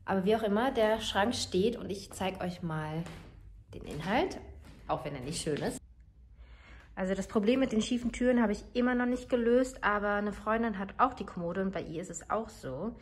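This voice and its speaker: A woman in her twenties, a bit hoarse from a cold, chatting casually into her phone camera while showing her apartment, with many fillers as she thinks aloud. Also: medium pitch, lively intonation, moderate pace, a little hiss or hum. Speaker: vlogger. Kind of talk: casual home vlog. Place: large room in an apartment with high ceilings. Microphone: phone camera, selfie or mirror filming.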